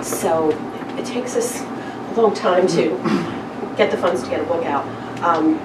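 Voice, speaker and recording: Low-voiced woman in a noisy, echoey room. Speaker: small press publisher and event host. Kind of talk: informal remarks to a live gathering. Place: echoey room at a live event. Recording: roomy, distant mic with loud noise.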